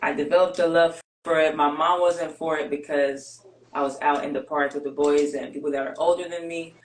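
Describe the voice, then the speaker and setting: A woman's voice: low voice, roomy sound, poor audio. A woman born and raised in Greece, whose first language is Greek, tells her life story candidly and steadily as an interview guest. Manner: candid, steady, measured with pauses. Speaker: guest, basketball player.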